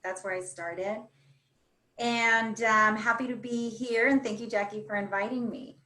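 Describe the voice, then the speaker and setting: A woman's voice: fairly high pitch, steady tone, unhurried, sharp tone. A woman from Los Angeles who grew up speaking Spanish, talking casually as a podcast guest. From Los Angeles, United States. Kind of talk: casual self-introduction.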